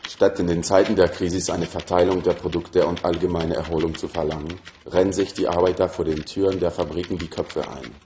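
A man's voice: deep voice, warm tone, a little hiss or hum. A man reading an old political text aloud as a quotation. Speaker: reader of quotations.

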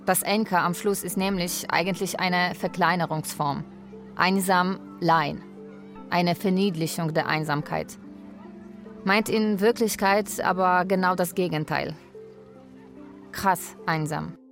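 An actress playing a lonely, wry Polish live-in carer, narrating a radio drama in a deliberate way. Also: female voice, lowish voice, faint music behind. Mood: lonely, reflective.

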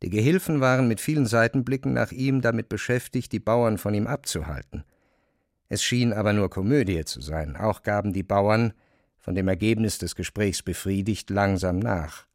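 A man narrating a literary text as a scripted reading, measured and precise. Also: on the low side, darkish tone, dry recording, clean studio sound.

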